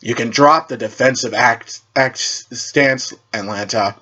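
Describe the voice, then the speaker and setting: A man's voice: mid-range voice, slow pace, sharp tone. Man playing a courteous masked shadow hero, lo-fi. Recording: dull lo-fi recording.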